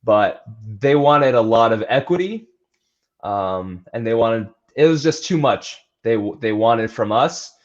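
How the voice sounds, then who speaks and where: A man with an average-pitched voice talks casually as a coach being interviewed. He is earnest and conversational. The recording is slightly dull and lo-fi. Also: animated, unhurried. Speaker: athletic trainer and strength coach being interviewed.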